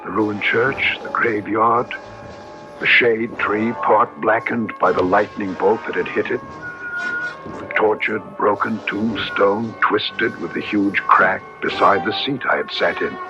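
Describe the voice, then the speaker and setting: An actor playing a haunted, earnest man in a radio drama, speaking gravely in formal, literary phrases. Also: lowish voice, unhurried. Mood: suspenseful. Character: haunted, earnest man trapped in a transformed body. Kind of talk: 1970s radio drama acting, first-person narration and dialogue. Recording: dull old radio broadcast recording. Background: dramatic music.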